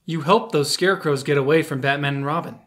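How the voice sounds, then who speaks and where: A man's voice: a little high, fast, a bit bright, natural voice. A man acting an earnest, wary young hero in a fan audio drama. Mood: probing.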